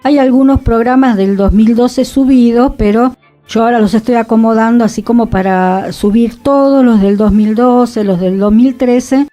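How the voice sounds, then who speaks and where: A woman, the director and co-host of a radio show, talking in a thoughtful, unhurried way. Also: a little high, warm tone, faint music behind. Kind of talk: relaxed radio conversation.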